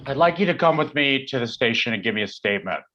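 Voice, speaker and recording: A man's voice: medium pitch, animated, fast, pushed and bright, highs cut off, slight background noise. An actor playing an officious, hostile policeman with a clipped formal manner, in a staged play reading. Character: officious, hostile small-town policeman grieving colleagues.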